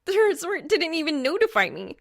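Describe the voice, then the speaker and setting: A woman voicing a fiery, excitable young woman gamer in an audiobook, speaking in a dramatic, flustered, slangy way. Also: very high-pitched, brisk, gravelly, pitched above the performer's own voice, full character voice. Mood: outraged. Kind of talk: audiobook character dialogue.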